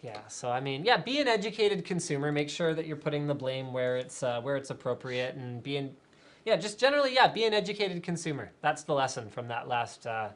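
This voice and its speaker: A talkative man hosting a live tech stream, reading out viewer questions and riffing on them. He speaks casually with plenty of fillers and restarts, energetic and opinionated. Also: a little high, fairly quick. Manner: energetic, chatty, many fillers. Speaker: tech show host.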